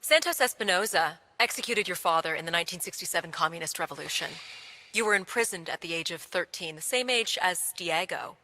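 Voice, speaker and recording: A woman's voice: on the low side, expressive, brisk, sharp tone. A woman playing an unflinching middle-aged American journalist in a video game, asking pointed questions in a composed, direct way. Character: probing, unflinching American female television journalist. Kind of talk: interview in a video game cutscene. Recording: reverberant hall.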